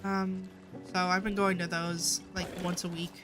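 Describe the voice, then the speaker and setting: A woman's voice: fairly low pitch, flat delivery. Stammering, slightly stuffy woman streamer with music behind. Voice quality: slightly stuffed-up, nasal sound. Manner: stammering, halting, often repeating words. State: tired. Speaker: game livestreamer. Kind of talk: casual chat while livestreaming a game.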